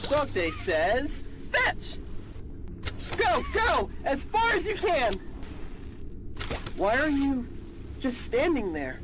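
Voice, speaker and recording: A commanding cartoon dog toy, urgent and anguished. The pitch swings hugely over noisy audio. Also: huge pitch swings, takes it slowly, long pauses, exaggerated voice. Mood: urgent, shouting. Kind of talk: children's toy character voicelines.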